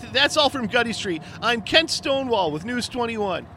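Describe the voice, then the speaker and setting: An actor playing an excitable male TV news reporter, reporting live from a scene and stumbling over his words when surprised. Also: very high-pitched, moderate pace. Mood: brisk. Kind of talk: audio drama acting. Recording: street noise behind the voice. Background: traffic and city ambience.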